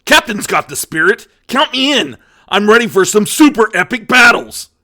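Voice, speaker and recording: A man playing a cocky, excitable pirate crewman in an audio drama. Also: high-pitched, normal speed, heavily acted. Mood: excited, eager. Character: cocky, excitable male pirate eager for battle.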